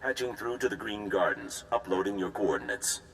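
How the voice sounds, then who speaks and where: Echoey deep dispatch machine. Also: male voice, sing-song, normal speed, gravelly, background noise. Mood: procedural. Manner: formal, procedural. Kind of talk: clipped status announcements. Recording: echoey, loud music behind.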